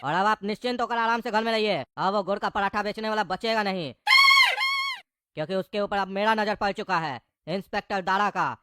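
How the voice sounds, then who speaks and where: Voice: mid-range voice, brisk, very noisy, close to an everyday voice. A performer playing a boastful, authoritative male police inspector in a Hindi comedy cartoon, speaking in a self-important, instructive way.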